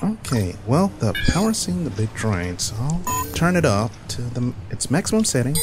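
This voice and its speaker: An actor playing a nerdy, earnest inventor who explains technical things precisely in a comedy radio drama. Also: male voice, medium pitch, sing-song, moderate pace, music in the background, close to an everyday voice. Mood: matter-of-fact.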